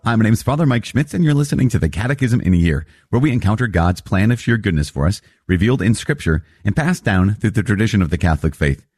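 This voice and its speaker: A man's voice: fairly low pitch, quick pace, few pauses, slightly rough, no room sound. A man, a priest hosting a daily teaching show, talking conversationally and rephrasing his thoughts aloud. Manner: animated, thinks aloud and rephrases mid-sentence. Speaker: priest and podcast host.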